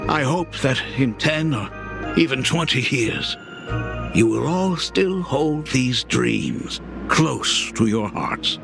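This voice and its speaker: Creaky, gentle middle-aged father. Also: mid-range voice, lively intonation, slow, music in the background. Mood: warm ceremonial. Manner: gentle, reflective. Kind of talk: dramatic video game voice acting.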